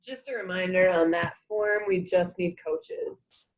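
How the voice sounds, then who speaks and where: A woman's voice: on the low side, a little slow. A woman from program staff, adding brief clarifying reminders during a conference call.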